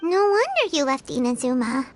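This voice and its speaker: A woman's voice: high voice, moderate pace, soft background music. A woman voicing a small, perky, chatty non-human companion in a video game who speaks of herself by name. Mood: eager. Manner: excitable, talks of herself by name. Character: tiny floating female companion, perky, chatty.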